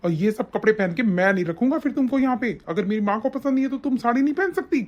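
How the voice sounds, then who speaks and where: A woman's voice: a little high, expressive, quick pace, close to an everyday voice. A woman acting an angry young husband, muffled. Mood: stern, dismissive. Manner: accusing, bossy. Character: angry, domineering young husband, mother's devoted son. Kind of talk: domestic family melodrama acting. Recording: dull, muffled lo-fi sound.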